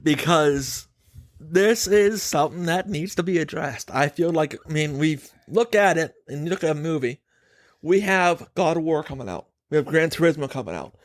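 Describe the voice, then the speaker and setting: A man's voice: on the high side, lively intonation, normal speed, no room sound. A man hosting a podcast, giving his opinions in casual, emphatic chat and putting questions to his co-host.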